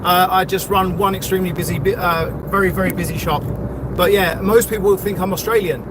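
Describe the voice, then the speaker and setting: A middle-aged man is livestreaming from his car, rambling along in a chatty, sweary way with lots of ums and uhs. His voice sits a bit high for a man. Heavy road noise and traffic roar fill the background. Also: steady tone, a little fast. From London, England. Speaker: livestreaming vlogger. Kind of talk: casual live chat while driving. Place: in a vehicle on city roads near an airport. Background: loud traffic and road noise.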